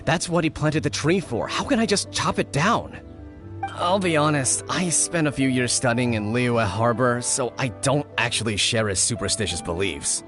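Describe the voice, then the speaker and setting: An earnest young male villager in a video game, voiced by a man, apologetic and a bit flustered. His mid-pitched voice swings widely as he pleads, with faint music behind. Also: animated, normal speed, a bit bright, natural voice. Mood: pleading, earnest.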